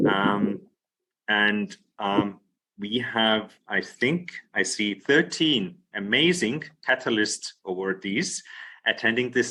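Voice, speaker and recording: A man hosting a video call breakout session, relaxed and full of fillers. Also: on the low side, rough recording. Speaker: session host. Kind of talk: casual video call moderation.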